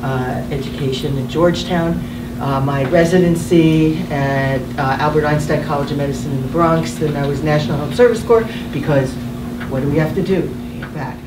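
A woman's voice: low voice, normal speed. A middle-aged woman physician from New Jersey introduces herself in a rambling, hesitant, self-deprecating way. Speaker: internist and guest doctor. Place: large conference room. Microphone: far room mic. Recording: echoey room from far off, noisy.

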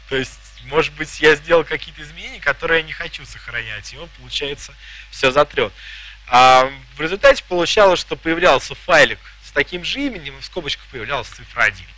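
A man's voice: fairly high pitch, sharp tone, a little hiss or hum. A man in his thirties giving a live technical talk in a conference hall, joking and asking the audience questions. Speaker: conference presenter. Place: conference hall with a projector screen.

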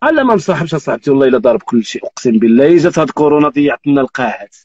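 A man's voice: medium pitch, big pitch swings, very fast, a little mellow, no echo, muffled recording. A Moroccan man in his thirties hosting a live stream, teasing and telling jokes with frequent oaths. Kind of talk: joking casual chat. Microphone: phone on live video call.

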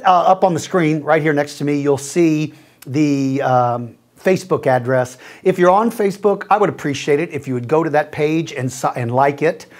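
Gruff-voiced man hosting a dinosaur Q&A. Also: mid-range voice. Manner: friendly, expressive, gestures while talking. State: gruff, rough voice after speaking at a school. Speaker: dinosaur educator and host. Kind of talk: answering viewer questions to camera. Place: small studio with mottled backdrop.

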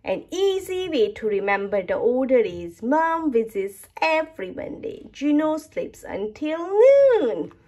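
A woman reading a picture book aloud to young children in an expressive, deliberate storytelling manner. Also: high voice. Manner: animated, slow, deliberate storytelling delivery. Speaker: children's book reader and channel host.

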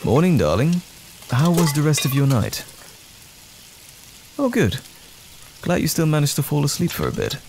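A man playing a loving, caring boyfriend in an intimate comfort audio roleplay, speaking gently and soothingly with affectionate teasing. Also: fairly high pitch, wildly swooping pitch, normal speed, creaky, long pauses, slight background noise, exaggerated voice. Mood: cheerful, teasing.

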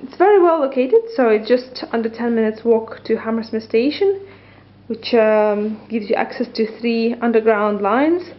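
A woman in her thirties, walking a camera through a small loft flat and describing it with frequent ums and hesitations. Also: a little high, moderate pace, lo-fi sound. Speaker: property tour presenter. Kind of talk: walkthrough tour narration. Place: small loft studio apartment, indoors. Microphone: camera mic, handheld camera.

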